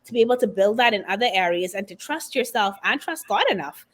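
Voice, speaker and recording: A middle-aged woman from the Bahamas speaks as an interview guest, her voice sitting at an ordinary pitch for a woman. She talks in an animated, expressive way, building her story with warmth of conviction and references to faith. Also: fast talker. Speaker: guest author and confidence coach. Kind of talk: conversational interview.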